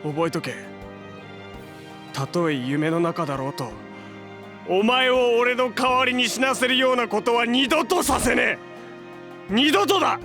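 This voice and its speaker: An actor playing a hot-blooded, defiant young hero in an audio drama, brash and emotional with rough, slangy delivery. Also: male voice, very high-pitched, lively intonation, unhurried, pauses often, pushed and bright, soft background music, full character voice. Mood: fierce, emotional. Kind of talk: Japanese audio drama acting.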